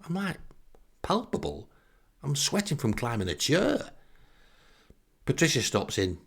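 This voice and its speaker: A man's voice: medium pitch, huge pitch swings, unhurried, vocal fry, a bit bright, slightly rough. A man with a Northern English accent telling comic first-person stories as a podcast host, with a wry, sarcastic manner. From northern England.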